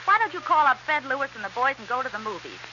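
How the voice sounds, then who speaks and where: Brusque breadwinner wife for 1930s radio comedy; high-pitched, animated, faint hiss behind. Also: female voice, quick pace, exaggerated voice. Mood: placating. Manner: brusque, commanding, condescending. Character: bossy breadwinning wife in a role-reversal sketch. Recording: dull, hissy 1930s radio recording.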